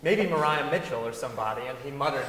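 A man's voice: medium pitch, animated, fast talker, a bit bright. A young man reading a written recollection aloud on stage in storytelling fashion. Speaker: reader on stage. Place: large wooden concert hall stage. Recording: echoey hall, faint room noise.

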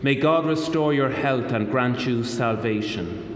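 Irish bishop in an echoey chapel. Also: male voice, medium pitch, animated, unhurried, crowd noise. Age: middle-aged. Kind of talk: liturgical address and blessing. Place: hospital chapel. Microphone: microphone on stand at lectern. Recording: echoey chapel with long reverb, noisy.

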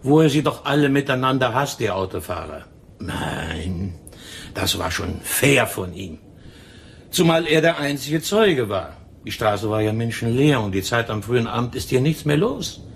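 Gossipy tobacconist, radio crime drama. Lively swoops of pitch, emphatic storytelling, faint noise behind. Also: male voice, gravelly. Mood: confiding. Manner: talkative, emphatic, drawn-out storytelling. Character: chatty, gossipy male tobacco shopkeeper. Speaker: tobacco shop owner in a radio play.

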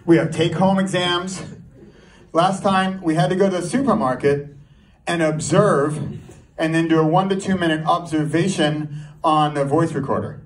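A man with a fairly high voice performs stand-up comedy to a live audience. He tells his story in an animated way, often putting questions to the room. The mic sounds somewhat distant, with some crowd noise behind him. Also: moderate pace. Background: audience crowd and laughter.

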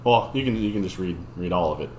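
A man's voice: low voice, lively intonation, fast, darkish tone. A man in his thirties hosting a casual unboxing video, chatty and laughing often. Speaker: channel host. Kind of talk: casual chat while unboxing mail. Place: dim home room with gaming chairs. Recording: echoey room with faint noise.

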